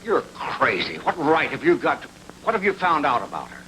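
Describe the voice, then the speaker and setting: A man's voice: on the high side, wildly swooping pitch, normal speed, sharp tone, heavily acted. A man playing a tense, defensive husband demanding answers in a film noir radio drama. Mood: indignant, suspicious. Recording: noisy vintage radio recording.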